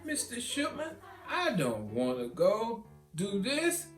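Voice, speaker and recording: A man's voice: huge pitch swings, slow pace, fairly bright tone, exaggerated voice. A man voicing a scared, reluctant kindergarten boy in a storybook, whining and pleading. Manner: high, pleading. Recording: roomy echo, guitar music behind.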